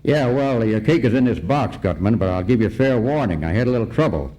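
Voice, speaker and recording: An actor playing a wry, laconic private detective in a comic radio noir parody. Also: male voice, mid-range voice, a little fast, lightly acted. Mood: deadpan. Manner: deadpan, laconic. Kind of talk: comic old-time radio noir acting. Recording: noisy live stage recording.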